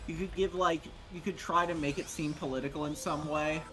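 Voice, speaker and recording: A man commenting casually on a livestream, excitable, with stop-and-start phrasing. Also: on the high side, a little fast, rough recording. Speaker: co-commentator on a story stream. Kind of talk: casual chat. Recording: faint music in background. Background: music.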